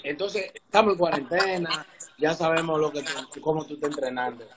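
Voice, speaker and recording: High-pitched Dominican man, casual host. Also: moderate pace, crowd noise. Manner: informal, slangy. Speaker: interviewer, show host. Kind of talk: casual sports interview. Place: indoor room, remote video call. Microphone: video call, device at arm's length.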